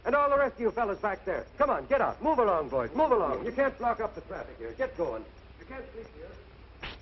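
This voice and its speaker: A muffled old radio recording with faint noise. a bossy cop, high-voiced and officious, barking brusque orders with big swings in pitch. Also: male voice, sing-song, a little fast. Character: brusque, bossy policeman clearing out loiterers. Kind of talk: 1940s radio comedy acting.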